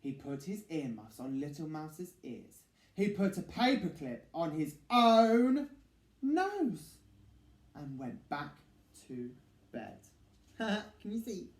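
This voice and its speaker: Male children's story reader: high-pitched for a man, slow, theatrical, dramatic pauses, echoey room. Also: big pitch swings. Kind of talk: expressive picture-book narration.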